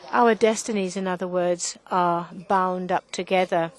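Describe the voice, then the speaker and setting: A woman interpreting a panel talk live, in halting phrases with frequent restarts and self-corrections. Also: on the low side, steady tone. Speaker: conference interpreter.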